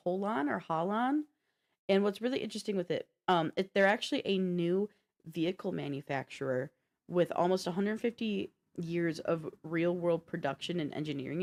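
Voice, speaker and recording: Female podcast co-host, slightly low voice, informal and slangy, talking off the cuff about tech. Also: darkish tone. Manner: informal, slangy, thinking aloud. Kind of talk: casual tech and games chat.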